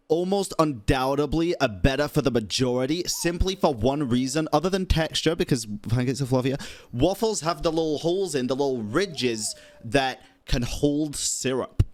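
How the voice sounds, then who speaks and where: A man's voice: medium pitch, normal speed, a little mellow. A man streaming casual game reactions with slangy, sweary banter.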